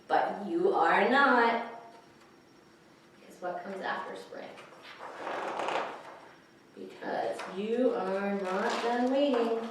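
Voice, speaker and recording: A woman reading picture books aloud to young children, pausing to explain the pictures and ask questions. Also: fairly high pitch. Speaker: children's librarian, story time host. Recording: echoey, distant microphone, faint hiss.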